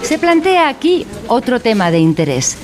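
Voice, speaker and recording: A woman's voice: a little high, normal speed, a little hiss or hum. A woman playing an earnest, formal instructor in a satirical educational radio drama. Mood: deadpan, pedantic. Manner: didactic, deadpan, formal. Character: earnest female instructor of an absurd mock educational course.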